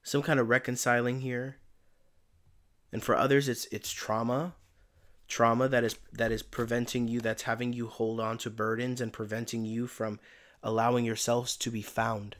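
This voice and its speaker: A man giving a casual spiritual tarot reading in a soft, meandering, reflective way, his voice tired and giving out. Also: medium pitch, little pitch movement, moderate pace. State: voice giving out, tired by day's end. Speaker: tarot reader and channeler.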